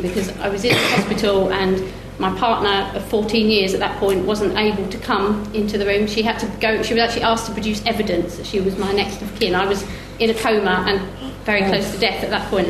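A middle-aged woman telling personal stories on a live panel, candid and wry. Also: mid-range voice. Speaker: panellist, team sports athlete. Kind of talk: personal storytelling at a panel talk. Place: live festival panel in a roomy venue. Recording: echoey room with clear background noise.

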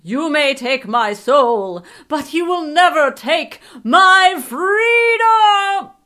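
A woman voicing a gloating, ancient male wizard king, a megalomaniac fantasy villain with theatrical, drawn-out exclamations. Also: fairly high pitch, expressive, bright, forceful tone, pitched above the performer's own voice, heavily acted. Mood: desperate fury. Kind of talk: fantasy audiobook character acting.

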